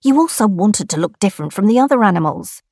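A woman voicing a stern, scolding spirit creature in a storybook, speaking quickly and pointedly. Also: medium pitch, animated, gravelly, a little mellow, slightly rough, no echo, studio quality.

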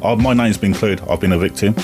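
A man's voice: lowish voice, fast talker, music in the background. A man in an interview clip, speaking bitterly and indignantly.

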